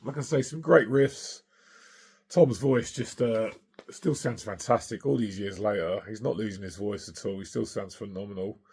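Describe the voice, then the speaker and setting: A middle-aged man whose voice is hoarse and gravelly after a night of singing. He talks in a relaxed, chatty way, dropping filler words as he goes, and his tone is rough and breathy with a lot of fry underneath. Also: lowish voice, normal speed. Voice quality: gravelly, husky. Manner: chatty, enthusiastic, lots of uh and um. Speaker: vinyl collector vlogger. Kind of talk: casual record collection show-and-tell.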